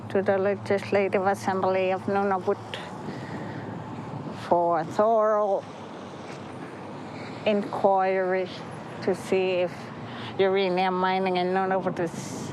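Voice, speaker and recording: A woman speaking up for her community in an archival news clip, with slow and measured delivery. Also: medium pitch, little pitch movement, background noise. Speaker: community advocate.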